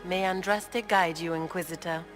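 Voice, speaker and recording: A woman's voice: on the low side, fairly even intonation, normal speed, soft background music, lightly acted. An actress playing a haughty, scheming noblewoman in a video game, speaking in a condescending, theatrical, aristocratic way. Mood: smooth, conspiratorial. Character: haughty, scheming noblewoman villain, silkily cruel and gloating. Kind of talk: dramatic video game acting.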